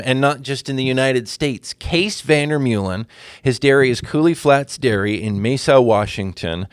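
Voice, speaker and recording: A man's voice: mid-range voice, clear, resonant tone, no room sound, studio quality. A man hosting a podcast, introducing a guest and asking plain, friendly questions. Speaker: podcast host.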